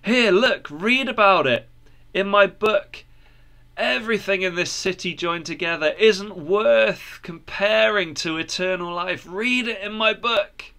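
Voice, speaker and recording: A man's voice: sits high, measured pace, bright, forceful tone, pitched above the performer's own voice, exaggerated voice. A man voicing an anguished, burdened seeker of eternal life in a storybook tale, pleading and repeating himself. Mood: urgent, hopeful. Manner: pleading, repetitive.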